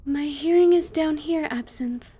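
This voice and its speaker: A woman's voice: high-pitched, measured pace, warm tone, pitched above the performer's own voice. A woman voicing a polite, bookish young female spellcaster in an audio drama, speaking formally and carefully. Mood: earnest, measured. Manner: formal, careful, hesitant phrasing.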